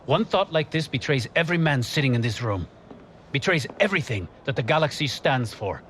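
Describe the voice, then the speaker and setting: Commanding football coach, rousing game team talk, animated pitch range, slight noise behind. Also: male voice. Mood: challenging. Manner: emphatic, measured, rousing.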